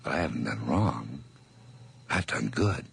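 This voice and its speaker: An obsessive murderer narrating a radio play in a very deep, gravelly voice. His pitch swings widely as he moves between whispers and feverish outbursts. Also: animated, measured pace, a little hiss or hum, full character voice. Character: nervous, obsessive man confessing a murder.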